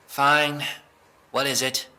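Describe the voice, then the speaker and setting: A man's voice: mid-range voice, little pitch movement, very slow, sharp tone, heavily acted. A man playing a desperate, defensive young man under questioning in an audio drama, stammering and exasperated as he speaks. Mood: exasperated, tired.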